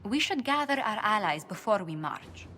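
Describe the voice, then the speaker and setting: A formal, courteous diplomat in a fantasy game. She has an average pitch and an anxious politeness, with faint music behind her. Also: very animated, normal speed, fairly bright tone. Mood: worried. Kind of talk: video game cutscene acting.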